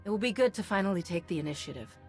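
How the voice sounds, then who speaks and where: An actress playing a resolute, earnest heroic commander in a video game, composed in delivery. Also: female voice, mid-range voice, animated, racing, soft background music, lightly acted. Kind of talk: video game hero acting.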